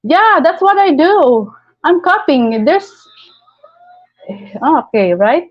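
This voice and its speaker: A woman's voice: high-pitched, big pitch swings, slow pace, muffled recording. A woman from the Philippines with a Filipino accent, hosting a casual live stream, chatting and reading viewer comments aloud with frequent laughs.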